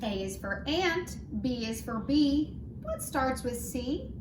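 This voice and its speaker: Animated, high-pitched woman teaching young children. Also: takes it slowly. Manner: sing-song, sounds out letters and words, addresses children directly with questions. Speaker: early reading teacher. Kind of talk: phonics lesson for young children, read-aloud. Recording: roomy echo, clear background noise.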